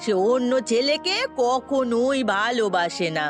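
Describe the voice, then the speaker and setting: Sly older village aunt for audio melodrama played by a woman: fairly high voice, gossipy, meddling, insinuating, soft music behind. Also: lively intonation, unhurried. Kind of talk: melodramatic Bengali audio story acting. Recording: music under the voice.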